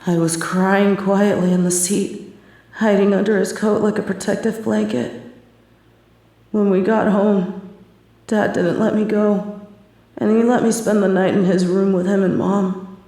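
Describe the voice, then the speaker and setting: A young adult woman performing a scripted horror narration, telling the story in a hushed, tense manner. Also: mid-range voice, flat delivery, unhurried, pauses often. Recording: very echoey room.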